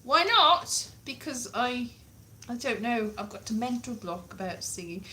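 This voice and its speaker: A woman, perhaps in her late thirties, chatting casually to camera in an animated, rambling, dryly jokey way. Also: fairly high pitch, moderate pace, a little hiss or hum. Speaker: vlogger, language learner. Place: small plain room indoors.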